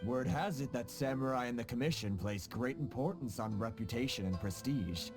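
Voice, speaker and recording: An actor playing a shrewd, diplomatic merchant in a video game, measured and polite. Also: male voice, medium pitch, animated, over music, close to an everyday voice. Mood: calm, pointed. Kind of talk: English video game dub acting.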